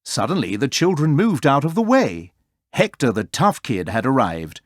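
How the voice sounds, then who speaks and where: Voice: medium pitch, very animated, normal speed, no echo, studio quality. A storyteller reading a children's story aloud as scripted narration.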